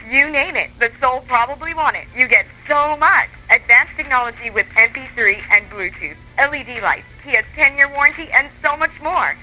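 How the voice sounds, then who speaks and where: A woman announcer giving an upbeat, scripted car dealership sales pitch. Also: sharp tone, slight background noise, rough recording. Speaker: commercial announcer.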